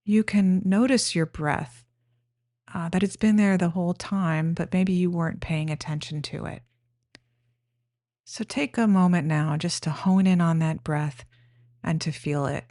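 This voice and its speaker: A woman leading a guided meditation, giving gentle, plain-spoken instructions in an unhurried way with pauses. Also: fairly low pitch, no echo, clean studio sound.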